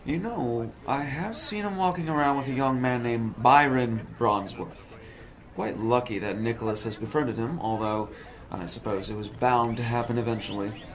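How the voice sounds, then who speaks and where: A man's voice: mid-range voice, normal speed, natural voice. A man playing a fussy, evasive professor. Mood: nervous. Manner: polished, wordy and lecturing, with hesitant fillers. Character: smooth, fussy male college professor, nervous and evasive. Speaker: psychology professor.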